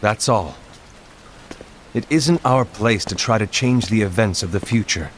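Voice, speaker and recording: An actor voicing a calm, firm male leader in a video game cutscene. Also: fairly low pitch, measured pace, a little hiss or hum, close to an everyday voice. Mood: stern. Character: stern, dutiful male leader, adult. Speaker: game character.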